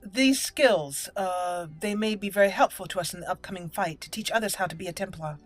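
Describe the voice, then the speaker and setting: A woman's voice: mid-range voice, a little fast, soft background music. A woman hosting a roleplay let's play, voicing dialogue choices and narrating the game.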